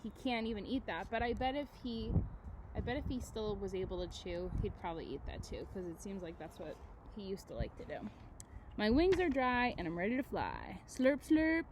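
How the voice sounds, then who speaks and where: A woman teacher reading aloud to children, with expressive delivery and casual, chatty asides that put questions to her listeners. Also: mid-range voice, normal speed, background noise. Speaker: elementary school teacher.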